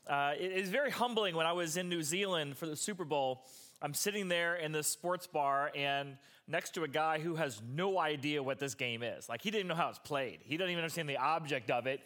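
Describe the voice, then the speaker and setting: A hoarse, middle-aged pastor preaches in a loose, chatty way, wandering into side stories. His voice is fairly high for a man, and the strain is plain in it. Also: fairly quick, fairly bright tone. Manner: casual, rambling asides. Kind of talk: sermon.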